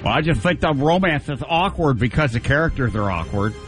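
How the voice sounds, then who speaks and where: A man's voice: animated, fairly quick, faint music behind. A man hosting a comedy show, trading argumentative scripted lines with filler-heavy asides. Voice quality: deep voice. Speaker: host. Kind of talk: hosting and reading a scripted debate.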